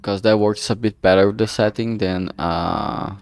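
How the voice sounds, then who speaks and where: Low, creaky-voiced man chatting on stream. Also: fairly even intonation, a little mellow. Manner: halting, distracted, trailing off mid-sentence. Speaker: streamer and visual novel creator. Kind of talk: casual solo livestream chat while working. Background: background music.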